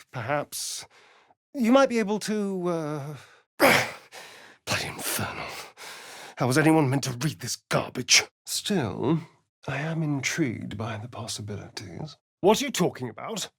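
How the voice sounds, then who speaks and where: An actor playing a centuries-old male vampire elf, arch and theatrical in video game lines. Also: mid-range voice. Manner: theatrical, arch, relishing words.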